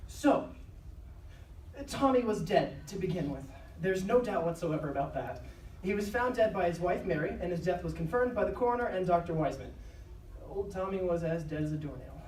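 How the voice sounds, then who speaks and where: Performer as uneasy, intimate narrator, casual and confiding, mid-pitched; roomy live stage, slightly off-mic. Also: a little mellow, far from the mic, slight background noise, lightly acted. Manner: informal, confiding, sighing. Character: anxious, confiding storyteller sharing found diary notes. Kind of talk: live audio drama narration. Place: convention live show stage.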